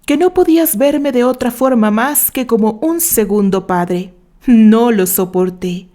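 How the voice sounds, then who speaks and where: A woman voicing an obsessed, bitter gentleman in a dramatic, anguished audiobook confession. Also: on the high side, lightly acted.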